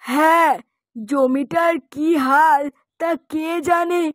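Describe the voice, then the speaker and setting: A woman's voice: high voice, steady tone, slow, no room sound, studio quality. A woman voicing a nagging, worried widowed mother of a grown son in a moral-story cartoon, chiding and complaining.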